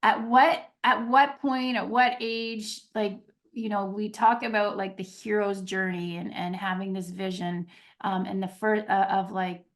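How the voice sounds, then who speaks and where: A woman's voice: mid-range voice, steady tone, measured pace. Curious woman hosting a video-call interview. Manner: curious questioning with frequent "like". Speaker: host, leadership coach. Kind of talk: webinar interview.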